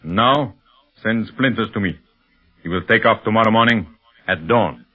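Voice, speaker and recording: An actor playing a cold, imperious criminal mastermind in a 1930s radio serial, speaking formally and deliberately. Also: male voice, fairly low pitch, faint music behind, lightly acted. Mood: scheming, commanding. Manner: deliberate, commanding, formal diction. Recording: dull 1930s radio recording.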